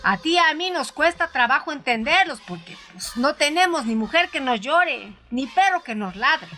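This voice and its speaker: A woman narrating a story in the first person in a conversational, dramatized style, with hesitant pauses. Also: high-pitched, lively intonation, sharp tone. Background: music.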